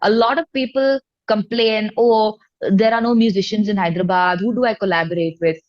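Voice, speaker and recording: A woman's voice: medium pitch, fairly even intonation, fairly quick. A woman chatting casually and candidly as an interview guest, telling stories informally. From India. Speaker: singer-songwriter guest. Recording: dull lo-fi audio.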